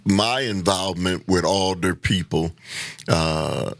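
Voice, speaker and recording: A man talks earnestly as a guest in a casual conversation, halting and repeating words as he builds his point. His voice sits somewhat low, and the sound is close and dry. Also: no echo.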